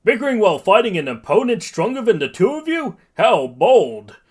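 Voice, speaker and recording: A man voicing a big veteran superhero with a booming, grandiose, theatrical delivery. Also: very animated, normal speed, breathy edge, heavily acted. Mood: scolding.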